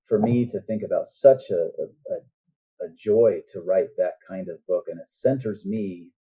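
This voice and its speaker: A man's voice: low-pitched, a little slow, warm tone, husky, low-quality recording. A man speaking as an author guest on a podcast, explaining things earnestly in a conversational way and often checking in with the listener.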